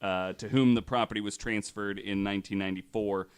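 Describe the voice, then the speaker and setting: A young man hosting a casual podcast, retelling a story in a relaxed way with lots of fillers. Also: fairly low pitch, fairly quick, clean tone. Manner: relaxed, many fillers, voices others' claims with mock quotes. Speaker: podcast host.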